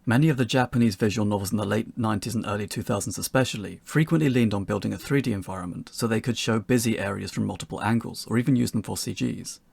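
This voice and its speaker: Fast-talking man with a low, gravelly voice. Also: darkish tone, dry recording. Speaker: presenter of a tutorial talk. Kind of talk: scripted narration for an instructional video.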